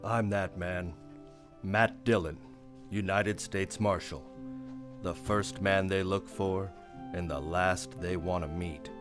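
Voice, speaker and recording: An actor playing a watchful, lonely US Marshal in a western radio drama, speaking gravely and with measured delivery. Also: male voice, deep voice, fairly even intonation, over music. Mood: grave, solitary.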